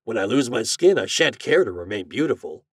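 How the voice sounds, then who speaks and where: A man's voice: medium pitch, sing-song, a little fast, breathy edge, dry recording, studio quality, recorded from a distance. A man voicing a poor, lovelorn glassblower in a storybook, plaintive and earnest. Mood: eager.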